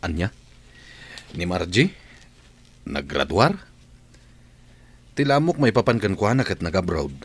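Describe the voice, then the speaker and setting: A man's voice: low-pitched, sing-song, measured pace, pauses often, full character voice. A man playing a regretful young father in a radio drama, speaking in a heavy, subdued way. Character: regretful, subdued young father who deserted his girlfriend.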